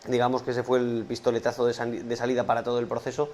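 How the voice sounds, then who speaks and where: Brief: male interviewee, flat even delivery, faint background noise. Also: mid-range voice, rapid-fire, highs cut off. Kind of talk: documentary interview.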